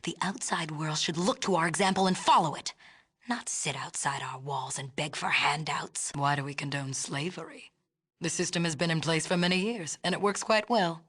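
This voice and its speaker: Low-voiced, haughty, authoritarian city leader. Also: female voice, moderate pace, a bit bright, slightly rough. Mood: disdainful, lecturing. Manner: imperious, clipped, formal, condescending. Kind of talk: scripted video game dialogue.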